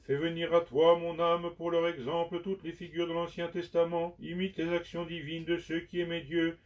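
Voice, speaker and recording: A man giving a slow, solemn liturgical recitation of penitential prayers in measured, prayerful phrases. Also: fairly high pitch, completely flat delivery, faint music behind. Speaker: liturgical reader. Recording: dull sound from far off the mic.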